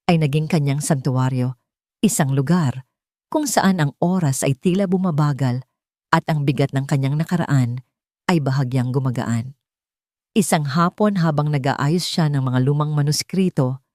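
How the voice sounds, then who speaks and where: A woman's voice: deep voice, a little mellow, no room sound, clean studio sound. A woman reading a scripted dramatic story as its narrator.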